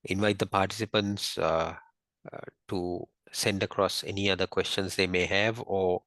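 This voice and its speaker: A man speaking as a finance executive on an investor call, explaining in a halting, measured, unscripted way. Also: low voice, little pitch movement, a bit bright, no room sound. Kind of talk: unscripted business earnings call.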